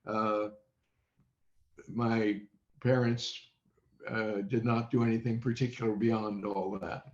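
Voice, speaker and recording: An American man in his eighties answers as an interview guest, recollecting slowly and deliberately. His delivery is halting and unhurried at a middling pitch. The call audio is muffled and quite roomy. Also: level tone, darkish tone. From Indiana, United States. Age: 80s. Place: indoors on a video call.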